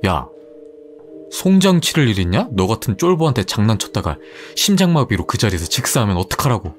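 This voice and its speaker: Blunt, mocking schoolboy with a lively but lowish voice, done by a male narrator. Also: brisk, faint music behind. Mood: brusque. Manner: brusque, mocking. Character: blunt teasing young male classmate.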